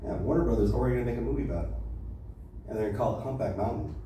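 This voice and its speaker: Casting: middle-aged American male open-mic comic, lowish voice, casual patter, distant noisy club recording. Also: racing, dark tone. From Los Angeles, USA. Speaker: stand-up comedian. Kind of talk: stand-up comedy set. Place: small comedy club stage, indoors. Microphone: handheld mic, heard through distant camera. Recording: echoey, noisy club room from far off.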